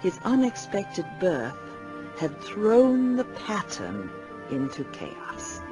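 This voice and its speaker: A man narrating a fantasy audio drama as a solemn storyteller, measured and portentous. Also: sits high. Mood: ominous. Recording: music under the voice.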